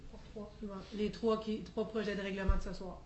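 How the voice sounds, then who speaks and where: A woman's voice: medium pitch, level tone, moderate pace, darkish tone. A woman explaining meeting procedure in plain, informal terms. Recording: echoey room with faint noise.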